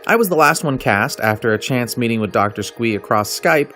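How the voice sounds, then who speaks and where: Mid-pitched man narrating over music. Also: lively intonation, fairly quick, sharp tone. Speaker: actor. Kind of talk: scripted behind-the-scenes narration.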